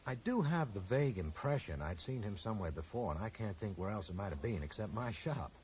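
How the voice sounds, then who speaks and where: An actor playing a courteous, probing bookseller who questions people in a measured, polite way in a 1980s radio drama. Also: male voice, on the low side, very animated, darkish tone. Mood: earnest, courteous. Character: probing, polite bookseller investigating a stranger's death. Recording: slightly noisy old radio broadcast recording.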